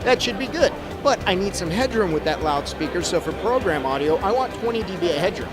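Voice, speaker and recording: A man's voice: sits high, expressive, brisk, crowd noise. A middle-aged man giving a casual, step-by-step technical explanation as an expert guest in an interview. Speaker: expert guest, audio trainer. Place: busy trade show floor. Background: music.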